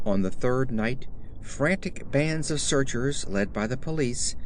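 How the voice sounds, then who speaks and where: Male horror narrator with a lively, swinging pitch. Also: a little hiss or hum. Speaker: audiobook narrator. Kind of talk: dramatic scripted narration of a horror story.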